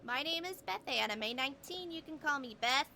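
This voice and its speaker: A woman's voice: sits high, expressive, brisk, pushed and bright, slight background noise. A woman livestreamer chatting casually and in a friendly way with viewers while she plays. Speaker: game livestreamer. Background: video game music.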